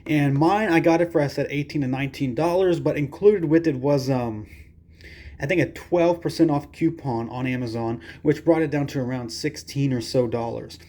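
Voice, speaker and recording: A man's voice: fairly high pitch, normal speed, mellow. A man giving a casual, hands-on product review in a rambling, hesitant way with folksy phrasing.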